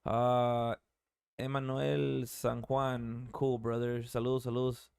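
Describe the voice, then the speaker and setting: Laid-back bilingual man in his thirties, smooth dry voice. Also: mid-range voice, level tone, takes it slowly, dry recording. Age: 30s. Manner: laid-back, swears casually, reads shout-outs from the chat. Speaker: podcast host. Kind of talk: casual chat, mixing English and Spanish.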